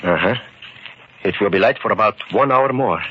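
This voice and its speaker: An actor playing a calm, resolute immigrant shopkeeper in old radio drama style, with measured, formal phrasing that repeats words. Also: male voice, on the low side, close to an everyday voice. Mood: calm, deliberate. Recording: dull, noisy old radio recording.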